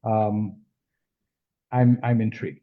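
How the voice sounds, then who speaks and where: A man hosting an interview, asking questions hesitantly with many fillers and pauses. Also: lowish voice, level tone. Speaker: interview host. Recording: tinny phone-like line.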